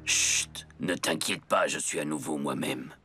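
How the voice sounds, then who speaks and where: An actor playing a gentle, loyal, reassuring robot rescuer in a French children's cartoon dub. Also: male voice, rumbling low, measured pace, slightly rough, heavily acted. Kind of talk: animated children's series dub. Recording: loud cartoon music behind.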